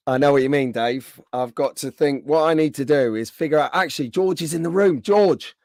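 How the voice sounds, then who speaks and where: Chatty man, slightly hoarse. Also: on the high side, darkish tone, no echo, clean studio sound. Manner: chatty, greets viewers by name. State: slightly hoarse, under the weather. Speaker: live stream host. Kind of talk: casual live stream chat.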